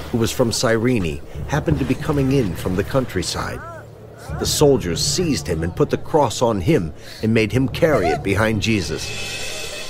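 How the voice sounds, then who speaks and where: Male narrator for scripture drama: low pitch, measured storytelling, music underneath.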